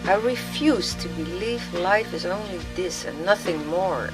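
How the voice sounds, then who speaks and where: A woman's voice: on the low side, unhurried, natural voice, low-quality recording. A woman voicing a pensive, weary man in a brooding inner monologue for a radio play. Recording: music laid under the voice.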